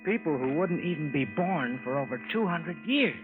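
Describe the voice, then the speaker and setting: A man's voice: fairly high pitch, expressive, normal speed, natural voice. A man acting a folksy, skeptical, bewildered American husband and narrator in an old radio drama, in a colloquial style. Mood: astonished. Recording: tinny vintage radio recording, music behind.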